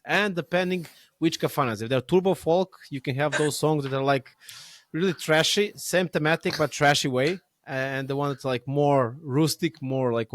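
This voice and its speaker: A man's voice: fairly high pitch, no echo. Chatty man who laughs a lot. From Serbia. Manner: laughs often, halting with restarts and fillers. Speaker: podcast host. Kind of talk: casual conversation.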